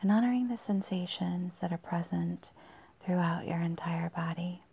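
A woman leading a guided meditation in a soft, breathy, husky near-whisper with long pauses, in a dull, muffled recording. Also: lowish voice.

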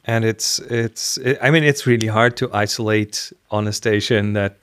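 A man's voice: lowish voice, fairly even intonation, moderate pace, a bit bright, clean tone, dry recording, studio quality. A middle-aged man co-hosting a podcast, giving casual conversational commentary over a video call. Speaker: podcast co-host. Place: home room, indoors.

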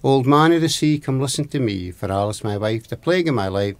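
A man's voice: mid-range voice, lively intonation, a little fast, no echo, close to an everyday voice. A man voicing a henpecked, obedient fisherman in a folktale, chanting and beseeching with a Scottish accent. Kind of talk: oral folktale storytelling.

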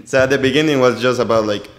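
A man's voice: medium pitch, quick pace, roomy sound. A young man in his twenties from Colombia with a Colombian Spanish accent, speaking English as a second language in easygoing interview chat with many fillers. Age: 20s. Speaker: podcast guest, goalkeeper coach. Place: office room used as a podcast studio. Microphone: studio mic on a stand, headphones.

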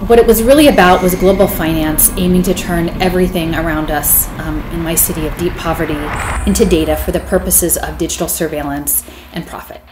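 Female commentator, lower register, reflective delivery, roomy echo. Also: brisk, over music. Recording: roomy, noisy recording.

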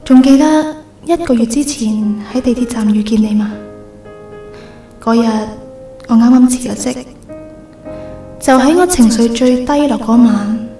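Woman acting a soft, high-voiced young Hong Kong woman. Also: reverberant, natural voice. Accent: Hong Kong Cantonese. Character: gentle, apologetic young woman hiding her identity. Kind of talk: Cantonese radio drama acting.

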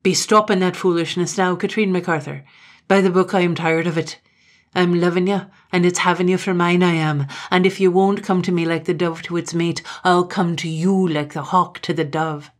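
A woman reading a story aloud, voicing a murderous, possessive Highland man who talks in a forceful, menacing dialect. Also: steady tone, moderate pace, lightly acted.

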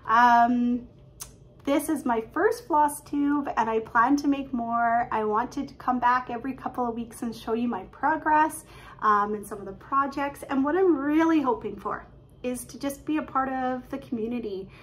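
A woman, chatting excitedly and quickly about her craft projects from her living room, filled with "um"s and little laughs. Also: high-pitched. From Canada. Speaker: cross-stitch vlogger. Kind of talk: casual show-and-tell chat. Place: living room at home, indoors. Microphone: webcam at desk distance.

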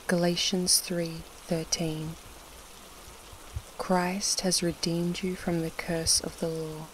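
Slow solemn scripture reader for sleep meditation, short phrases w pauses, soft rain going behind. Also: mid-range voice, slight background noise, rough recording. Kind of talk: slow devotional scripture reading and prayer. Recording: steady rain sound over the voice.

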